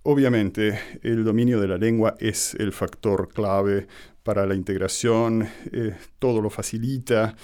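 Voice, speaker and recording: A man from Argentina with an Argentine accent, speaking as an interview guest in a measured, explanatory way with hesitant pauses. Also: fairly low pitch, steady tone, a little mellow, clear, resonant tone. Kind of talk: conversational radio interview monologue.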